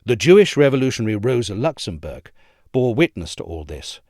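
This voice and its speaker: A man reading an essay aloud as a narrator, in a measured, articulate and formal delivery. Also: medium pitch, big pitch swings, a little mellow, no echo, clean studio sound.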